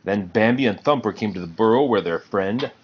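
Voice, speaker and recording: Male storybook reader, low register, expressive melody, slightly dull home recording with light background noise. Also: fairly quick. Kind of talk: children's read-aloud narration.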